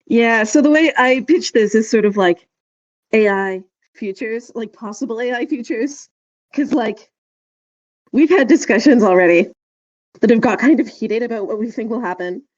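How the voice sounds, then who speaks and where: A woman's voice: on the high side, moderate pace, dry recording. A woman co-hosting a podcast, chatting casually in an informal, rambling way. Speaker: podcast co-host. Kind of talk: casual conversational podcast chat. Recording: slightly dull, dry recording.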